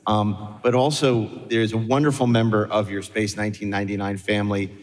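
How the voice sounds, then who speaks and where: A man's voice: lowish voice, flat delivery, fairly quick. A man hosting a live panel and putting questions to guests, a bit hesitantly. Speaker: panel host, interviewer. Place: large indoor hall, live panel event. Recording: echoey hall with long reverb.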